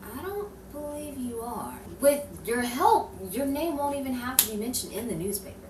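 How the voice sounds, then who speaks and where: A woman's voice: sits high, expressive, normal speed. A woman playing a persistent, coaxing newspaper reporter in an improvised noir radio play. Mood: persuasive, firm. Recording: roomy, distant mic with faint noise.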